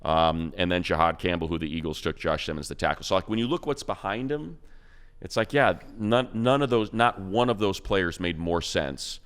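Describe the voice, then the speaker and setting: Deep-voiced man talking football. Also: fairly bright tone, clear, resonant tone. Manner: rambling, fast, emphatic. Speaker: radio play-by-play announcer and co-host. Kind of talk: animated football talk.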